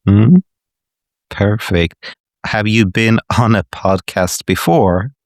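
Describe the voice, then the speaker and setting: Deep, slow, gravelly male podcast host. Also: no room sound, clean studio sound. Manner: brief backchannels and short questions. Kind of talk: interview questions.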